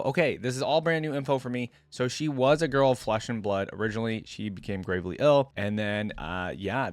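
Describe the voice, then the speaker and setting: A man with a fairly low voice hosts a casual reaction video. He moves between reading story text aloud and thinking out loud about it. The sound is dry, with very little room in it. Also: no room sound. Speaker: YouTube reaction host.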